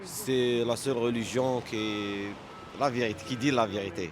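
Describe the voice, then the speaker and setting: A man whose first language is Arabic, speaking French as a second language in a street debate, halting and insistent, often repeating words. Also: medium pitch, steady tone. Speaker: street interviewee.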